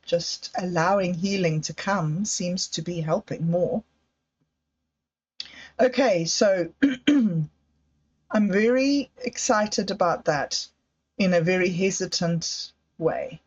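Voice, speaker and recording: A woman's voice: lowish voice, takes it slowly, pauses often, a little mellow, breathy edge. A woman, hosting a livestream and musing in a hesitant, self-doubting way about her projects. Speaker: livestream host. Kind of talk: casual livestream monologue. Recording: slightly dull lo-fi audio.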